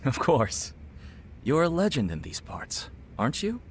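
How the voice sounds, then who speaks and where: A man's voice: fairly high pitch, huge pitch swings, slight background noise. A man playing a courteous, formal middle-aged chief executive and widower in an English-dubbed video game drama. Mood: smooth, cordial. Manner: measured, formal, articulate. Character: polished, courteous male CEO and grieving widower. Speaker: company executive, client.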